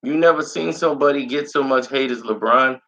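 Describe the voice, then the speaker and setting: A man with a raspy voice, a little hoarse as he recovers from being sick, giving loose, slangy sports opinions in a casual chat. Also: medium pitch, brisk, sharp tone. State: recovering from illness, slightly hoarse. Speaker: sports commentary vlogger. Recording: dull lo-fi sound.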